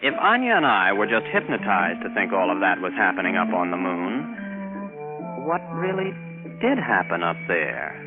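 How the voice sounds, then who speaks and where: A man's voice: on the low side, normal speed. An actor playing a wry, puzzled, easygoing man in a 1950s radio drama, talking colloquially on a vintage recording. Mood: wry, curious. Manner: colloquial, animated. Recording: narrow vintage radio recording, music behind.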